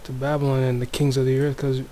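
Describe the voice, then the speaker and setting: Brief: low, mellow-voiced man with a Toronto accent, flat delivery, hushed home chat with faint background noise. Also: fast. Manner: speaks softly, many restarts and fillers. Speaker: religious video maker. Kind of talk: casual religious commentary, reading news aloud. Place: home room.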